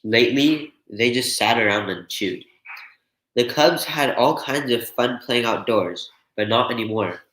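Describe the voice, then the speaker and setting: A man's voice: mid-range voice, a little slow, fairly bright tone. A man reading a children's picture book aloud as a storyteller. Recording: echoey room.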